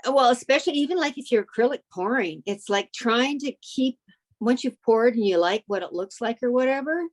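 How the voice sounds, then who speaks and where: A woman's voice: fairly high pitch, expressive, brisk. A woman co-host chatting casually, guiding her friend step by step with lots of likes and ums. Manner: friendly, gives step-by-step advice with many fillers. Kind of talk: casual chat.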